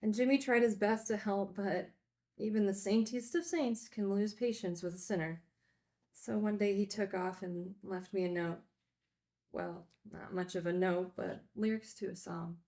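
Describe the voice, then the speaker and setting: An actress playing a candid, wry recovering addict who teaches at a group home, in a naturalistic screenplay read. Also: female voice, medium pitch, fairly even intonation, normal speed, warm tone, close to an everyday voice. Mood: reflective, confessional.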